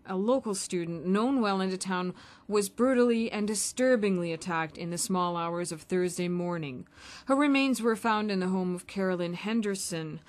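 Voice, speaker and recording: A woman's voice: medium pitch, lightly acted. Casting: woman as responsible adult female in radio drama, average register, scripted read. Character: female mother and solemn news reader. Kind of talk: amateur radio play acting, scripted.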